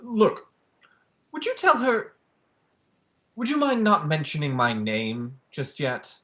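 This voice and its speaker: Man playing a polite, hesitant gentleman visitor, animated pitch. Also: slow pace, full character voice. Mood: cagey, awkward. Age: young adult. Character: male visitor, polite, cagey flamboyant academic type. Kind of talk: staged play reading.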